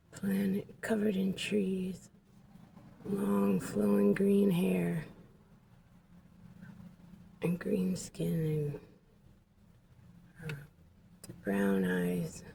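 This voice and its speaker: A woman speaking in a hushed, often near-whispered voice, casually commenting and telling stories on a noisy handheld vlog recording. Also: medium pitch, creaky. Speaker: vlogger filming and telling a story.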